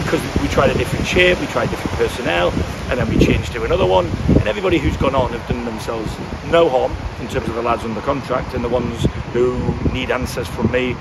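Fifties guy, football manager after the game, keeps restarting his sentences, outside by the pitch with loud wind on the mic. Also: gravelly. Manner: frank, thinks aloud with repeated restarts. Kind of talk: post-match interview. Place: outdoors beside a football pitch. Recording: loud wind and outdoor noise.

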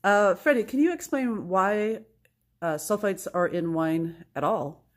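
A woman's voice: mid-range voice, a little slow. A woman, a marketing events manager, reading a viewer's question and asking short follow-up questions from behind the camera. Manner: reads the viewer's question, then asks short follow-ups. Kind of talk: casual interviewing. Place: dining room, behind the camera.

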